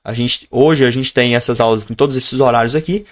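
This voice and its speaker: A man teaching a live online lesson, explaining at a relaxed pace and stretching out example phrases slowly so learners can follow. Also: medium pitch. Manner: switches between teaching tone and slow, drawn-out modeled phrases. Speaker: English teacher.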